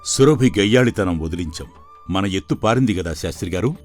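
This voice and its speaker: A man playing a stern, knowing sage in an animated folktale, admonishing and explaining. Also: deep voice, a little fast, soft background music. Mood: satisfied, explaining. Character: stern male sage, secretly a scheming magician in disguise.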